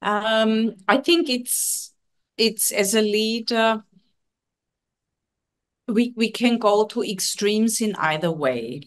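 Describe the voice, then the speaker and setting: A woman's voice: a little high, slow pace, pauses often. A woman in her forties, not a native English speaker, speaking earnestly as a communication trainer on a video call, often checking in with "yeah?". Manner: earnest, coaching tone, frequent "yeah?" tags. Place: home office.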